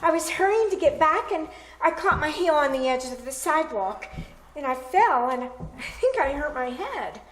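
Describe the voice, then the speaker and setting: A woman's voice: sits high, very animated, normal speed. A woman acting a frightened, shaken disaster survivor in an old-time radio thriller, pleading for company. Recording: echoey live stage.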